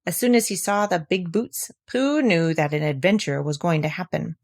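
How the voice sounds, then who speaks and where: A woman reading a children's storybook aloud in a gentle, measured manner. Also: on the low side. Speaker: audiobook reader. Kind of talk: storybook narration.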